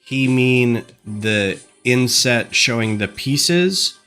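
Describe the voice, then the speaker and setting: A man's voice: mid-range voice, animated, extremely slow, bright, forceful tone. Man with a stuffed-up nose streaming from his desk, rambling and thinking aloud, mid-range voice, faint music behind. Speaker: LEGO designer streaming. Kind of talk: casual livestream chat. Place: home desk. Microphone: desk microphone.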